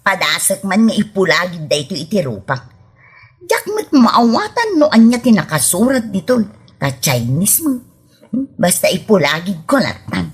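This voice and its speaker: A woman's voice: medium pitch, very animated, moderate pace, breathy edge. A woman playing a haughty, fussy returnee from abroad in an Ilocano comedy drama, whiny and disdainful, with an Ilocano accent. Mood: insistent.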